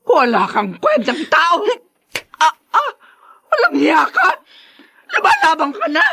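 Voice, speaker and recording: A woman's voice: extremely high pitch, wildly swooping pitch, measured pace, pushed and bright, heavily acted. Venomous, haughty mother, melodramatic swings. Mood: enraged, scornful. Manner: scornful, scolding, melodramatic. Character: haughty, meddling, venomous middle-aged mother. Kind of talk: Filipino radio drama acting.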